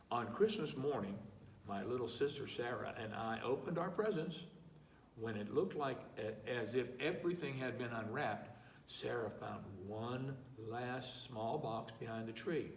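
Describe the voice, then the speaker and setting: A man volunteering as a reader, slowly and expressively reading a picture book aloud, clearing his throat between pages. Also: mid-range voice, slight background noise. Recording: echoey room, mic far off.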